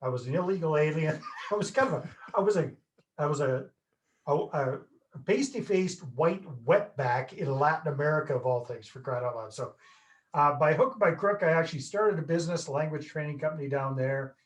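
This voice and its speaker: A man's voice: a little high, expressive, fairly quick. A Canadian man in his fifties, a guest telling stories in a casual, jokey, self-deprecating way over a video call. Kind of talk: casual interview chat.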